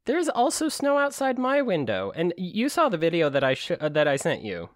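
A man's voice: sits high, wildly swooping pitch, fairly quick, no echo, clean studio sound. A man co-hosting a book-club podcast in casual, joking chat. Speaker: podcast co-host.

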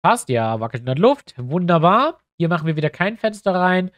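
Stuffy-nosed guy chatting to viewers while he builds a map, kinda high voice, dry close mic. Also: big pitch swings, fairly quick, a bit bright, dry recording, highs cut off. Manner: chatty, thinks aloud, addresses viewers directly. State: stuffy nose from a cold. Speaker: YouTuber building a tabletop map. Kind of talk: casual screen-recorded tutorial.

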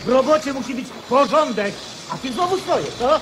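A man's voice: extremely high pitch, expressive, normal speed, heavy background noise. A man playing a stern, irate factory foreman in a radio drama. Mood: angry, scolding.

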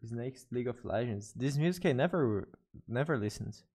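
A young Brazilian man with a Portuguese accent chats with his stream in English, pitched at an ordinary middle height for a man. He hesitates, repeats words and restarts phrases as he reads chat. He talks close to a desk mic in his bedroom setup. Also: animated, moderate pace, a little mellow, slightly rough. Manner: hesitant, self-correcting non-native English. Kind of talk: casual live-stream chat with viewers. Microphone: studio mic on desk with headphones.

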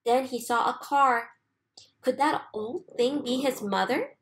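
A woman reading a children's storybook aloud expressively, with deliberate, sing-song emphasis. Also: high-pitched, fairly bright tone. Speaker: storybook reader.

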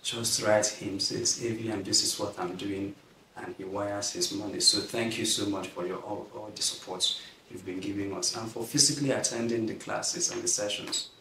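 A man in his thirties leads an online class in an earnest, gracious way, stretching words for emphasis. His voice sits fairly low. The recording is echoey and a bit distant, with faint noise. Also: fairly even intonation, moderate pace, pushed and bright. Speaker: class instructor. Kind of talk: online class leadership teaching. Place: plain indoor studio backdrop.

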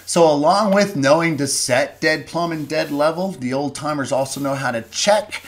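A man's voice: on the high side, normal speed. A middle-aged man giving a folksy, plainspoken carpentry lesson, with restarts and self-corrections, recorded at a desk mic indoors. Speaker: lumber company presenter. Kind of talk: instructional voice-over.

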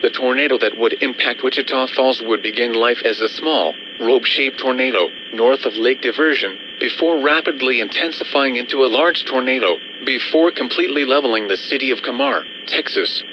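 A man's voice: mid-range voice, brisk, hardly any pauses. A man reading a scripted weather bulletin as a radio announcer, in a formal, impersonal, synthetic delivery through a weather radio. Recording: dull, noisy weather radio broadcast.

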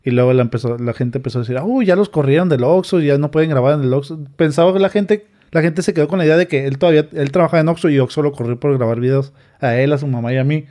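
Young man, mellow voice. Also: fairly high pitch, very fast, hardly any pauses. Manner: animated, acts out quoted comments in a raised voice. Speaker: guest. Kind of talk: casual storytelling. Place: small studio with a sofa. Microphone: studio mic on a boom arm, wearing headphones.

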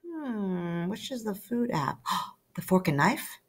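A woman's voice: medium pitch, big pitch swings, slow pace. A woman storyteller and teacher reading aloud to children, coaxing listeners to join in. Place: small room indoors.

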